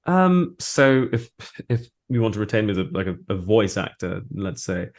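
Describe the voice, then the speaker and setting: A man chatting casually as an interview guest in a halting, thoughtful way. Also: on the low side, sing-song. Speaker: interview guest, voice actor and audiobook narrator.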